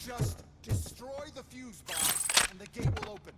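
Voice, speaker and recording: A man's voice: high-pitched, wildly swooping pitch, measured pace, heavy background noise, full character voice. An actor playing a brash, irritable robot salesman in a video game, sarcastic, dismissive and impatient. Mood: agitated, urgent.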